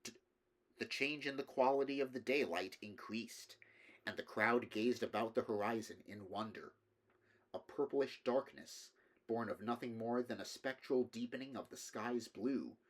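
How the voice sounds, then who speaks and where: Measured male reader of horror fiction. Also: lowish voice. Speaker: audiobook reader. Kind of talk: dramatic literary horror narration.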